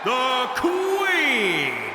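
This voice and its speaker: A formal male ring announcer from a wrestling game. He stretches words out at a very high, swooping pitch, echoing over a roaring crowd. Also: wildly swooping pitch, drawn-out words, shouting, heavy background noise, full character voice. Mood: triumphant.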